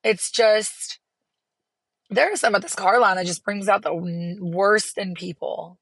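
A hoarse woman, hosting her podcast from a parked car in an earnest, encouraging way. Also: mid-range voice, a little slow, a bit bright, no echo. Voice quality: raspy. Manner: earnest, encouraging, rambling with restarts. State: hoarse from laryngitis. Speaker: podcast host. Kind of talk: casual solo podcast talk.

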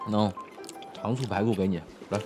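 A brash teenage schoolboy from a Chinese radio drama, played by a man, speaks in a fairly low voice. He is blunt, slangy and playfully teasing. Music plays clearly under the studio-recorded voice. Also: steady tone, normal speed, close to an everyday voice. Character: brash, teasing male high school student, playful and cocky. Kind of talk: Chinese audio drama acting.

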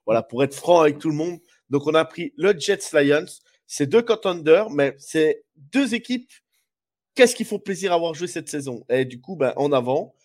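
A man's voice: fairly high pitch, lively intonation, normal speed. Man with a cold, rambling. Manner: rambling, many fillers and restarts. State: has a cold, stuffy. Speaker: podcast co-host. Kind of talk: casual sports chat.

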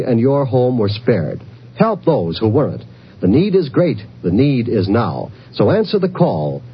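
Male announcer, earnest scripted appeal, solemn, lively pitch, 1950s radio, dull recording. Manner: solemn, urgent, rhetorical phrasing. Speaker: announcer reading a charity appeal. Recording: muffled old-time radio recording, faint hum.

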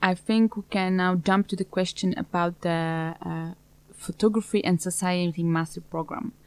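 A woman hosting an interview podcast, asking polite, measured questions. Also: lowish voice, fairly even intonation, a little mellow, clear, resonant tone, no echo. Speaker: podcast host.

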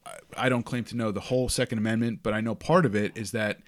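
A young man with a lowish voice who talks things through steadily in casual conversation. The sound is close and dry, with no room echo. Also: fairly even intonation. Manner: measured, reasoning aloud, hedges with you know and I mean. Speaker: podcast host. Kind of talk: casual chat.

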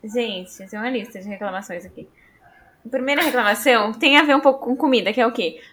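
A Brazilian woman chats informally as a podcast host. She talks quickly in a voice on the higher side. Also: a bit bright, soft background music. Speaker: podcast host, trained journalist. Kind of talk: casual chat.

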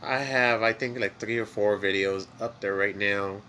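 A man whose first language is Spanish, speaking with a Mexican accent as a podcast co-host, rambling in a relaxed way with many fillers while high. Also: moderate pace, slight background noise. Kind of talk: casual chat.

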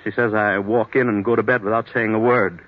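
A man's voice: on the low side, steady tone, fairly quick, close to an everyday voice. An actor playing an anxious, troubled businessman in a 1940s radio drama, speaking hesitantly and earnestly on a dull old recording. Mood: guarded. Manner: hesitant, earnest, agitated when challenged. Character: troubled, anxious businessman suffering blackouts, confiding in a doctor.

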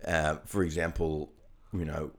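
Deep-voiced male podcast co-host, relaxed and reflective. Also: a little slow. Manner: conversational, reflective, drops into quoted voices. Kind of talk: casual chat.

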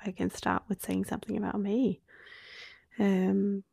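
A woman in her late twenties from Norway, speaking English with a Norwegian accent tinged with Australian, co-hosting a candid podcast and often dropping to a hushed near-whisper. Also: mid-range voice, level tone, unhurried, creaky, slightly rough, no echo, studio quality. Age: 20s. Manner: soft-spoken, drifting into hushed near-whispers. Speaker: podcast co-host. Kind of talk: candid conversational podcast chat.

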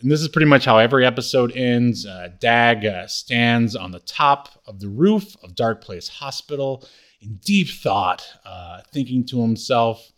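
A man co-hosting a podcast, casually recapping a TV comedy episode with halting delivery and acted-out quotes. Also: mid-range voice, lively intonation, a bit bright. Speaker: podcast co-host.

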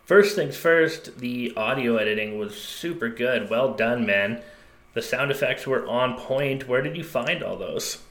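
A man, a podcast co-host, casually and warmly praising his friend's work. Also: medium pitch, unhurried, echoey room. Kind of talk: casual chat.

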